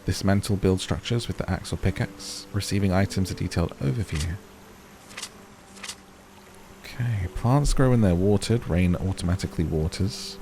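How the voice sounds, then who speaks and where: Deep, gravelly man chatting through a game. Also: moderate pace, warm tone, slight background noise. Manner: chatty, musing to himself, addressing viewers. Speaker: gaming video host. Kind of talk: casual gaming commentary, thinking aloud and reading on-screen text.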